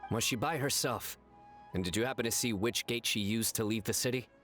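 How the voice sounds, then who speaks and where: A man's voice: medium pitch, fairly quick, fairly bright tone, close to an everyday voice. A man playing a composed, serious general in a video game. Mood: composed, purposeful. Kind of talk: video game character voice acting. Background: music.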